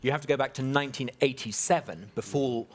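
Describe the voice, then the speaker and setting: A man's voice: medium pitch, quick pace. A confident man from Britain making his case on a conference panel. He stumbles into stammered restarts as he talks, and his voice sits mid-range. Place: conference hall.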